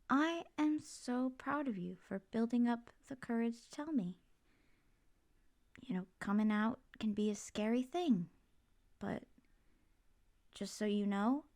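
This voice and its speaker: A woman playing a supportive, doting mother in an ASMR roleplay, talking in a gentle, reassuring, slightly rambling way. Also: a little high. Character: supportive, doting, chatty mother comforting her child. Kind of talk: ASMR roleplay, intimate one-sided conversation.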